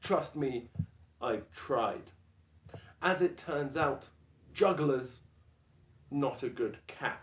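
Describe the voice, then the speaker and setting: A man performing a stand-up routine as a dramatic reading, deadpan and self-deprecating, with deliberate pauses. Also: medium pitch. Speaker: stand-up comedian. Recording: roomy echo with faint background noise.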